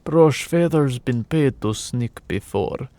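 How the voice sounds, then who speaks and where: A man's voice: medium pitch, lively intonation, slow, warm tone, no room sound. A man voicing a grinning, confident older male harpy scout in an audiobook, with a cocksure manner. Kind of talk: audiobook character acting.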